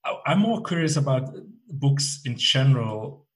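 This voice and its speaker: Man, podcast host, interview chat, halting questions, middle pitch. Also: expressive, poor audio. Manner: searching, restarts questions, laughs often.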